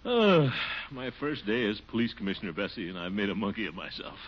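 An actor playing a pompous, easily flustered middle-aged police commissioner in 1940s radio comedy, with a blustery, exclaiming manner. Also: male voice, lowish voice, very animated, fairly quick, music in the background. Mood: dejected, exasperated. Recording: muffled, noisy 1940s radio recording.

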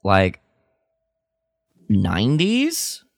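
A man co-hosting a podcast, chatting casually in halting bursts. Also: fairly low pitch, wildly swooping pitch, vocal fry, no room sound, clean studio sound. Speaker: podcast co-host. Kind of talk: casual chat.

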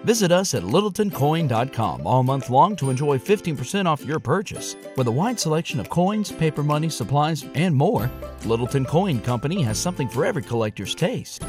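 Guy doing an upbeat ad read, animated, medium pitch, faint music under it. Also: a little fast. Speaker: commercial voice-over. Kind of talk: scripted upbeat advertising read.

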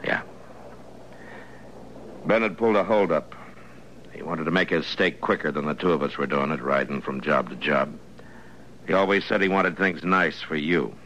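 A very deep, bright-edged male voice as a stern American lawman in a radio western. He speaks in measured, laconic phrases, firm but regretful. The old broadcast has some hiss and noise. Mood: regretful, steady. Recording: noisy vintage radio recording.

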